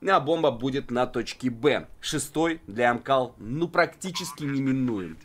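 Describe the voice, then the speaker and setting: A man's voice: medium pitch, lively intonation, measured pace. Slangy male esports commentator, faint game noise. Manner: colloquial gamer slang. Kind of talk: live match commentary, conversational. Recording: game sound behind the voice.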